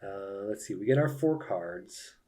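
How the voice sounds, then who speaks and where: A man's voice: on the low side, animated, measured pace, mellow. A man giving casual live commentary on a solo board game, thinking aloud and explaining each move to viewers.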